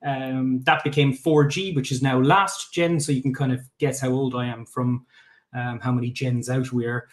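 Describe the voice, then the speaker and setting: Irish guy pitching his startup over a video call, lots of ums and uhs, average pitch. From Ireland. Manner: conversational, with many filler sounds and restarts. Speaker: startup founder presenting.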